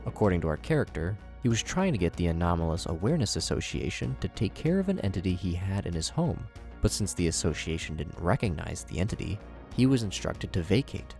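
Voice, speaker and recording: A man with a fairly low voice presents scripted commentary as a video host. His delivery is conversational and very lively in pitch, moving expressively through each sentence. Music plays faintly behind him. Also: brisk, creaky. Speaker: YouTube host, horror series reviewer.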